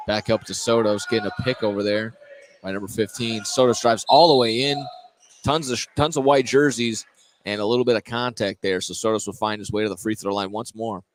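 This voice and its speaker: Low-voiced man calling live basketball. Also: fairly even intonation, a little fast. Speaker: play-by-play announcer. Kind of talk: live high school basketball radio commentary. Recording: noisy live gym broadcast.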